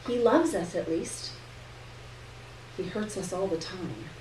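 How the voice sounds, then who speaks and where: A woman's voice: lowish voice, animated, slow, a little mellow. A woman reading the part of a defiant, sarcastic young girl in a story. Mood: flippant, defiant. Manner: flippant, sarcastic. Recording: roomy, distant live recording with noise.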